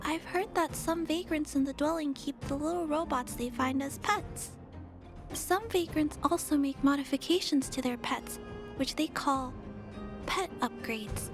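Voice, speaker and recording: A woman voicing a gentle, soft-spoken young girl who cares for robots, earnest, polite and a little hesitant, in a video game. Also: high voice, a little slow, music in the background. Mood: thoughtful, earnest.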